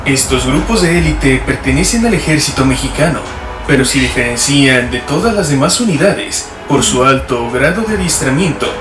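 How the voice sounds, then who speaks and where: A man's voice: medium pitch, lively intonation, normal speed. A man reading a scripted documentary narration in a grave, formal manner. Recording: roomy, distant, played back with music.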